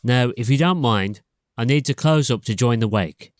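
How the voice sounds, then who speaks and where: A man playing a defensive, threatening village landlord in a podcast drama, speaking forcefully. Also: medium pitch, normal speed, no echo, studio quality, lightly acted. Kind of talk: podcast audio drama acting.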